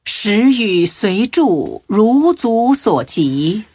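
A female narrator recites classical religious text very slowly, at a middle pitch with lively intonation. Her delivery is solemn, measured and expressive, recorded close and dry. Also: husky, no echo.

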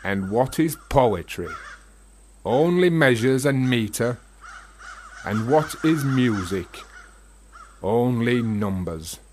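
An actor playing a bitter, obsessive gravedigger in a radio play, brooding and rhetorical, almost incantatory. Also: male voice, medium pitch, expressive, slow pace, pauses often, darkish tone, a little hiss or hum. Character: bitter, obsessive philosophical gravedigger, a working man. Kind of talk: radio play monologue acting.

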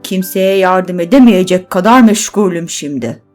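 A woman's voice: on the low side, animated, moderate pace, a little mellow, faint music behind, natural voice. A woman voicing a big, grumpy male bear in a children's story, curt and irritable, with growling outbursts. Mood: irritated, gruff. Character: big grumpy male bear who wants to be left alone.

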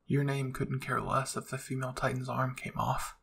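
A man narrating a scripted story in a measured, dramatic audiobook style. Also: medium pitch, little pitch movement, gravelly, fairly bright tone, slight background noise. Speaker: narrator reading fan fiction.

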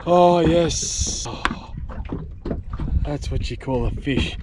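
Clear noise from open water surrounds a young man with an Australian accent and a noticeably high voice. He talks casually to his viewers as a fishing vlogger, in a colloquial, laid-back way. The sound is that of a camera out on a small boat. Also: drawn-out words. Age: young adult. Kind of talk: casual vlog commentary to viewers. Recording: noisy outdoor recording on open water.

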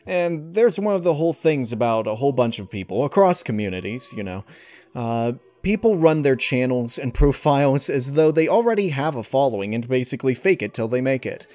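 Articulate man giving measured, formal answers. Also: mid-range voice, lively intonation, a little mellow. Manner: articulate, formal, deliberate wording. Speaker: channel host and audio story reader being interviewed. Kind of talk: thoughtful interview answers.

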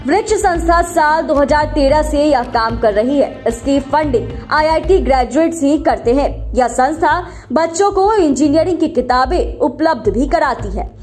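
A woman narrates a scripted news report in a high-pitched voice. She works as a voice-over reporter, reading a feature story, with music playing behind her. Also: animated, normal speed, few pauses, darkish tone. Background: background music.

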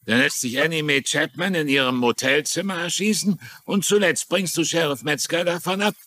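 Bright-voiced, wry town doctor. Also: male voice, on the high side, moderate pace. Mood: exasperated. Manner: dry, teasing. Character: wry, teasing small-town male doctor. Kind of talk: dubbed TV crime drama dialogue.

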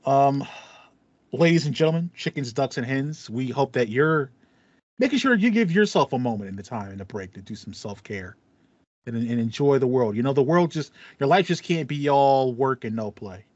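A man's voice: mid-range voice, animated, moderate pace, a little mellow, no room sound. Dry-sounding American man, chatty podcast host. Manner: chatty, playful, slangy. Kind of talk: casual gaming podcast chat. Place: small home room with acoustic foam, indoors. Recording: slightly dull, dry home recording.

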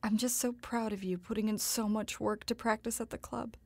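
A woman playing a devoted, gently worried mother in a nostalgic period audio drama, speaking in a genteel, tender and proper way. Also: on the high side, steady tone, brisk, no room sound. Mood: proud, hopeful.

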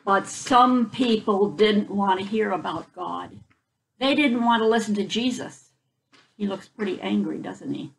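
A woman's voice: mid-range voice, measured pace, creaky, rough recording. An older woman reading a picture book aloud to children outdoors, explaining it in simple words as she goes. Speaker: children's story reader. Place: outdoors on a front porch. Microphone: camera a few feet away.

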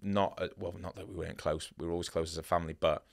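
Fast-talking low-voiced man, gravelly. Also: steady tone. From North Wales. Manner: chatty, upbeat, rambling with lots of "you know" and "yeah". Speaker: guest, tattooist. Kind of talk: casual interview chat. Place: dark podcast studio. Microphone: studio boom mic.

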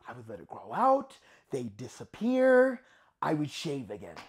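A man performing a dramatic monologue as a tormented young man, intense and halting, moving between hushed and anguished. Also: fairly high pitch, huge pitch swings, pitched below the performer's own voice, full character voice. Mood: uneasy, restrained. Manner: intense, halting, bursting from quiet murmurs into anguished shouting. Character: tormented young man haunted by shaving, swinging from hushed to frantic.

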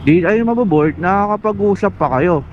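A man's voice: high voice, brisk, a little hiss or hum. A Filipino man giving a casual explainer vlog, talking colloquially and directly to the viewer. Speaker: motovlogger. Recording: muffled lo-fi mic, music underneath.

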